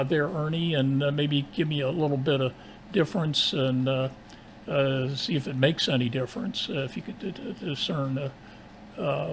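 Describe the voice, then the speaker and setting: A man talking casually as an amateur radio operator, halting and technical in his delivery. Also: a little high, vocal fry, poor audio. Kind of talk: casual ham radio exchange. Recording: constant hiss, ambient background noise.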